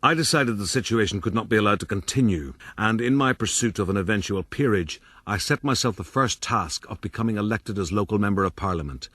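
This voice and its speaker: An actor playing a proud, bullying eighteenth-century gentleman adventurer in a radio drama, pompous and commanding. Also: on the low side, expressive, fairly quick, hardly any pauses. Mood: proud, calculating. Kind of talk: radio drama narration and dialogue.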